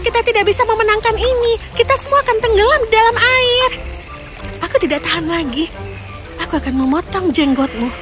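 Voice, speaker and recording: A woman playing a caring mother and her excitable young daughters in a children's fairy tale, expressive and earnest. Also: extremely high pitch, fairly quick, music in the background. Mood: exasperated.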